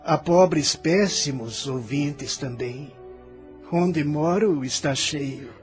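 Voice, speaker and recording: Man acting slow, bitter, bewildered Brazilian dreamer. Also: fairly high pitch, faint music behind. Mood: musing, wry. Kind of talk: audio drama acting.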